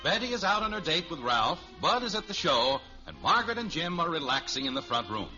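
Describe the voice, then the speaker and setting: A man's voice: on the high side, very animated, a little fast, bright, forceful tone. An American man reading scripted narration as announcer of a 1950s radio show. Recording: muffled vintage radio with music behind.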